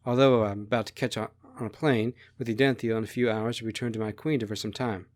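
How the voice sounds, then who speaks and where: A man voicing an obliging young princess who explains things quietly and reflectively in a story. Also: lowish voice, steady tone, fast talker, close to an everyday voice.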